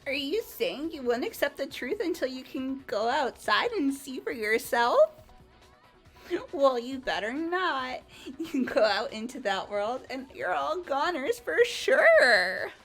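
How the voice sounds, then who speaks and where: Woman playing flippant, high-voiced girlish villain. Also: big pitch swings, measured pace, a bit bright, faint music behind, full character voice. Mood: mock warning. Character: flippant, manipulative young female villain, chatty and smug. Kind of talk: fan voice-over of a video game.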